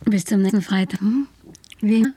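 A woman's voice: mid-range voice, steady tone, moderate pace, dark tone, slightly rough, lightly acted. A woman playing a teasing young lab assistant. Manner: teasing, sarcastic.

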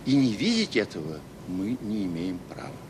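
Sarcastic Soviet-era official, low voice, animated cadence, lecturing and condescending, audio play. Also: male voice, moderate pace. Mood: oratorical, earnest. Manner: oratorical, condescending. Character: self-assured, sarcastic male education official lecturing a meeting. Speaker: conference speaker. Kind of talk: radio drama acting, formal speech. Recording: noisy 1980s audio play with rumble.

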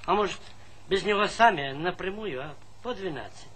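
A man playing an eager, ingratiating travelling procurement agent in a Soviet stage comedy, with a husky voice. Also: high-pitched, lively intonation, moderate pace, sharp tone, heavily acted, low-quality recording. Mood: eager, bargaining.

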